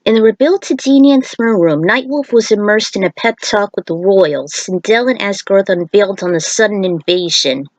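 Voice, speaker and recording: A woman narrating a scripted fan fiction story in a deliberate read-aloud manner. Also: mid-range voice, animated, a little mellow, no room sound, rough recording.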